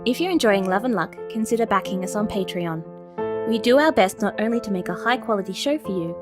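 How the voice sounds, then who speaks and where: A woman's voice: mid-range voice, fairly quick. A woman reading scripted podcast credits as the announcer. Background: music.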